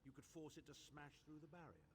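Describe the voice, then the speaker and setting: A man's voice: a little high, little pitch movement, quick pace, breathy edge. A man playing a sardonic, condescending older mage in a video game, articulate and dry in delivery. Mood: dry, instructive. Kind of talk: video game character acting.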